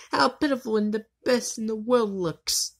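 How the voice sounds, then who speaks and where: Woman playing a slow, haughty villain witch. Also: a little high. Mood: gloating. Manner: mocking, haughty. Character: gloating, taunting villain witch in anime. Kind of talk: amateur anime fandub.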